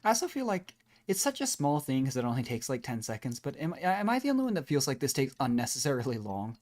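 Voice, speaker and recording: Very low-voiced woman streaming games. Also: very animated, fast talker, a little mellow. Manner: musing, rambling. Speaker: game streamer. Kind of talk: casual live game commentary. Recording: faint game music behind. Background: video game music.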